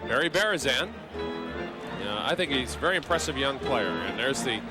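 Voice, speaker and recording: A man's voice: medium pitch, very animated, normal speed, loud music behind the voice. A man calling live hockey play-by-play, dropping conversational asides in between the calls. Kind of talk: live sports commentary. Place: broadcast position in an indoor arena. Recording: loud arena noise behind. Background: ice hockey arena.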